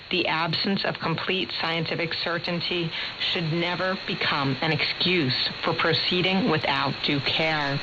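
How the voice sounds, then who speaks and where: A woman delivering a scripted radio news report as a reporter. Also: deep voice. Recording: muffled shortwave radio with clear static.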